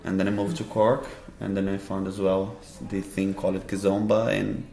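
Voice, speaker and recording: Man, Brazilian accent, low voice, flat delivery, halting phrasing, echoey room. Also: vocal fry. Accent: Brazilian Portuguese. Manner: halting, searching for words in a second language. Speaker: dance teacher and festival organizer. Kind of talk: casual interview answers.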